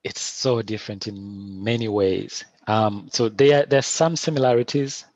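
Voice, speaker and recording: A middle-aged man with a Ugandan accent, answering interview questions in a thoughtful, halting way with many restarts. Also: on the low side, a little mellow, dry recording, lo-fi sound. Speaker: filmmaker guest.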